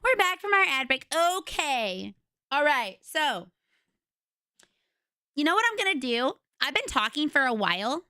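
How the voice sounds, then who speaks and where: A young woman streamer with a raspy voice, chatting casually and rambling to her viewers from home. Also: sits high, sing-song, a little slow, long pauses, sharp tone, no room sound, studio quality. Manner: chatty, rambling, retells conversations.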